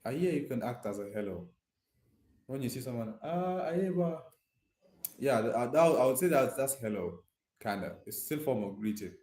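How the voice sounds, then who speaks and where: A man's voice: mid-range voice, animated, normal speed, mellow. A man from Nigeria with a Nigerian accent chats casually and informally, addressing live viewers directly in slangy speech. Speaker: live streamer. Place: echoey room indoors. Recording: echoey room.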